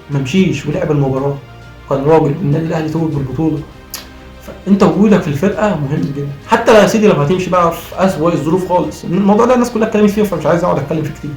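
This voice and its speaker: Rapid-fire Egyptian man with a strained voice. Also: a little high, a little mellow. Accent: Egyptian Arabic. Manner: emphatic, argumentative, repeats phrases. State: strained, voice worn out from cheering. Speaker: football vlogger. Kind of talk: impassioned post-match fan commentary. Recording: roomy echo, faint music behind.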